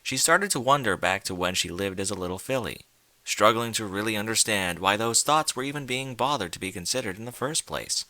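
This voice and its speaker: A man's voice: lowish voice, animated, fairly quick, bright, forceful tone, dry recording. A man reading fan fiction aloud as a theatrical, emphatic narrator. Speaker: story narrator.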